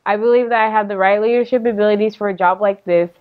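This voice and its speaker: A woman's voice: mid-range voice, steady tone, fast talker, phone-quality sound. A teenage American girl giving a prepared campaign speech as a student candidate. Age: teenager, about 14. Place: indoor studio, seated.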